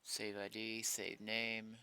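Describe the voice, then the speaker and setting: Slow, crisp-voiced man muttering while coding. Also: lowish voice, monotone, pushed and bright. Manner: muttering to himself in short fragments. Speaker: game developer streaming coding work. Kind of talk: casual thinking-aloud while programming.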